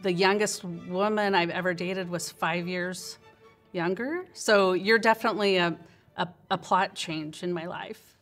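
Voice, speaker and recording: A woman's voice: lowish voice, fairly even intonation, unhurried, fairly bright tone, soft background music. A woman in her fifties answering interview questions in a reflective way. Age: 50s.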